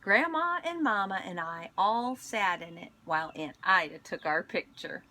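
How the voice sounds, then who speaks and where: A woman reading a picture book aloud in an expressive storytelling manner, pausing to speak to young listeners. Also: medium pitch. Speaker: school instructional coach reading aloud.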